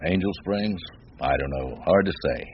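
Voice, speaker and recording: A man's voice: low voice, animated, normal speed. An actor playing a folksy older American ranch foreman, rambling and plain-spoken, in a 1980s radio drama. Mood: reflective. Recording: dull lo-fi radio recording with noise.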